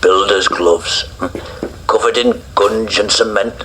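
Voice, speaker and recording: A man's voice: fairly low pitch, fairly even intonation, slow pace, a bit bright, a little hiss or hum, poor audio. An actor playing a confused older man with memory gaps, who rambles and coughs between words. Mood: vague, wistful.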